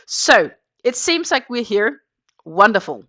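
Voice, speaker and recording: A woman's voice: a little high, big pitch swings, slow pace, sharp tone. A Danish-born woman hosting a casual morning crochet livestream in English, chatting in a rambling way with lots of fillers. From Denmark.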